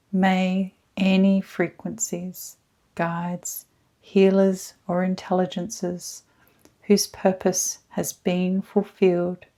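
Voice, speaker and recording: Very slow, soft woman guiding meditation. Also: lowish voice. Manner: hushed, soothing, deliberate phrasing with frequent pauses. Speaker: guided meditation leader, energy healer. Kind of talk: slow scripted guided meditation.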